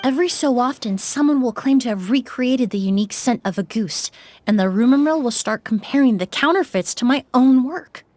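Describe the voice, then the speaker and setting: An actress playing a young perfumer in a video game, polite, composed and measured. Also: female voice, high voice, animated, darkish tone, no echo. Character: young female perfumer, poised and earnest.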